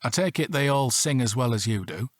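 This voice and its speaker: A wry British police detective played by an actor in an audio drama, teasing like a long-married husband. His voice is husky and moves expressively in pitch. It sounds close and dry. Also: animated, a little fast, no echo, lightly acted. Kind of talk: naturalistic audio drama acting.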